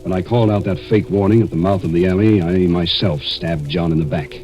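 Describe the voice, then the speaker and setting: Cold-blooded killer-narrator male actor, American. Low, deliberate delivery, forties radio mystery, vintage recording with prominent music. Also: darkish tone, close to an everyday voice, low-quality recording. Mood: calculating. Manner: deliberate, suspenseful first-person narration. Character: cold, scheming male murderer narrating his crime. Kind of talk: 1940s radio mystery acting.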